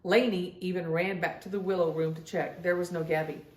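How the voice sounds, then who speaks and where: A woman reading a children's story aloud in an expressive storytelling style, speaking as if to young listeners. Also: on the low side, brisk, echoey room, low-quality recording. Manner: addresses young children directly, acts out dialogue. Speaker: children's storybook reader and host.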